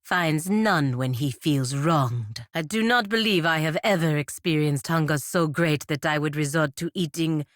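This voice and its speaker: An actress playing a proud, stern paladin envoy in a fantasy video game, speaking in a formal, haughty, measured way. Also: female voice, deep voice, no room sound, studio quality. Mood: cool, disapproving. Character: proud, stern, dutiful female paladin envoy of a merchant republic.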